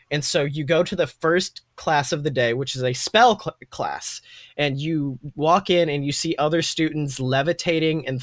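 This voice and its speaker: A man's voice: fairly high pitch, a bit bright, no room sound. A man improvising tabletop game narration as game master in an informal, conversational storytelling manner. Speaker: game master and podcast host.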